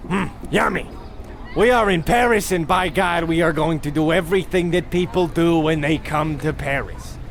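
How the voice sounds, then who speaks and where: High-pitched pompous husband, acted by a man. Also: normal speed, a little hiss or hum, close to an everyday voice. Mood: dismissive, pompous. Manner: pompous, theatrical, blustering. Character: pompous, oblivious, bickering American husband on holiday. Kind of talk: comedic radio play acting.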